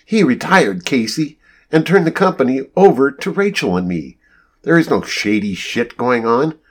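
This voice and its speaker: A man's voice: mid-range voice, animated, normal speed, voice pushed up, lightly acted. Man acting a firm, combative businesswoman. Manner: firm, clipped, growling. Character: stubborn, combative adult daughter running family company.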